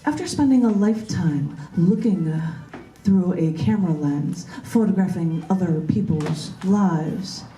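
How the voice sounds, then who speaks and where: Low-voiced woman poet in an echoey room. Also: lively intonation, a little slow, hardly any pauses, dark tone. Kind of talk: open mic poetry reading with casual asides. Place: large bookstore open mic, indoors. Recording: echoey room, distant microphone.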